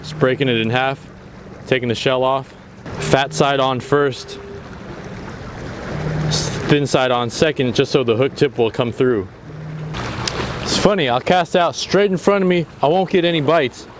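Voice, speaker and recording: A young man vlogging while fishing from a rocky sea jetty, explaining his rig casually and exclaiming loudly when fish bite. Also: mid-range voice, measured pace, long pauses. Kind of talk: casual solo vlog narration. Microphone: action camera, near and far. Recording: outdoor wind and water noise.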